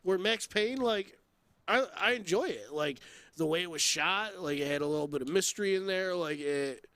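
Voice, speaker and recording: A man's voice: high-pitched, normal speed, fairly bright tone, clear, resonant tone, no echo. A man co-hosting a podcast, giving blunt opinions in casual, slangy talk full of "like". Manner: slangy, blunt, heavy use of "like". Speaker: podcast co-host. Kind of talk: casual opinionated film chat.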